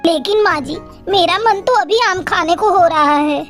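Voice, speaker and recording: A very high-pitched young cartoon sparrow performed by a woman. She is a cheeky, eager daughter-in-law with a respectful, coaxing way of talking. Soft music sits behind her. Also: normal speed. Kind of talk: Hindi children's cartoon acting.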